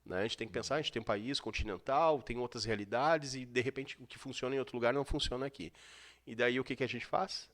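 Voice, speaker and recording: Guy thinking out loud, lots of "né", mid pitch. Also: fast talker. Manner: reflective, restarts, rhetorical questions. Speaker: guest expert. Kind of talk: explanatory interview talk.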